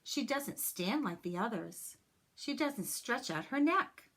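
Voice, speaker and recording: A woman's voice: a little high, expressive, slow pace, a bit bright, pitched above the performer's own voice, heavily acted. A woman voicing a worried young schoolgirl in a storybook, questioning her teacher with concern.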